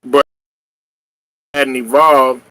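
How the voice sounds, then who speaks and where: A man hosting a casual sports talk show, animated and trash-talking, often laughing and hollering. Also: medium pitch, very slow, dry recording. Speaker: podcast host.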